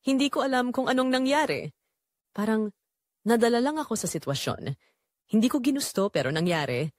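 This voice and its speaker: A woman's voice: fairly high pitch, lively intonation, moderate pace, no echo, clean studio sound, pitched above the performer's own voice, lightly acted. A woman voicing a weak, ashamed adult husband in an audio drama, confessing in a defeated manner. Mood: defensive, lost.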